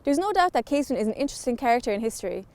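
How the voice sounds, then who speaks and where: A woman's voice: a little high, very animated, very fast, darkish tone. A woman reading scripted narration as the narrator of a documentary. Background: background music.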